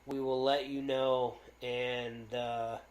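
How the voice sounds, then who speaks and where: Loud-voiced man hosting a podcast, echoey. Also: medium pitch, little pitch movement, slow. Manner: rambling, with many fillers and restarts. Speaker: podcast co-host. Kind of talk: casual podcast chat.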